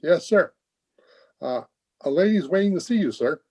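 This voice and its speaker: A man playing a deferential household servant with a rough voice in an old-time radio drama. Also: on the high side, lively intonation, moderate pace, darkish tone. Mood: subservient, hesitant.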